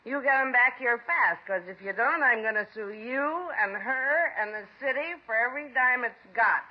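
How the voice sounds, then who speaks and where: An actress playing a bitter, defiant mother in a 1950s radio drama, shrill and accusing, then whining in self-pity, heard on a dull old radio broadcast. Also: lively intonation, moderate pace.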